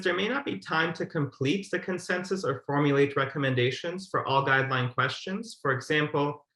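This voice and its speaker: Guy walking thru slides on a webinar, formal and methodical, medium pitch, echoey room sound. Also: fairly bright tone. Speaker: webinar presenter. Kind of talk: explanatory slide presentation. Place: indoor room, on a video call. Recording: roomy, echoey webinar audio.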